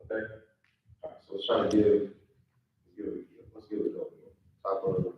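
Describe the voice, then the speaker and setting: A man with a fairly low voice speaks in a very reverberant room, slightly muffled. He gives halting, informal notes like a director at a table read, stopping to think and demonstrate lines. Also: flat delivery, background noise. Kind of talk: informal spoken feedback to actors. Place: live in-person event room. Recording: echoey room, distant muffled mic.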